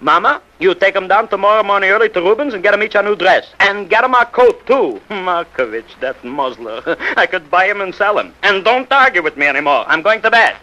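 An actor playing a grumbling, excitable, proud middle-aged father with a New York Yiddish-inflected accent in a 1940s radio comedy. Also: high voice, brisk, few pauses. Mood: indignant boasting. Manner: grumbling, repeats words indignantly. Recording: muffled vintage radio recording.

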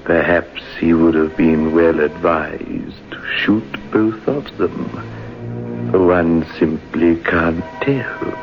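An urbane, macabre host narrating an old radio mystery. He speaks extremely slowly in a low, gravelly voice with dry irony. Music sits behind him. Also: fairly even intonation, lo-fi sound, heavily acted. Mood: wry, macabre. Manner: deliberate, wryly urbane. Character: droll, macabre storyteller host, darkly ironic.

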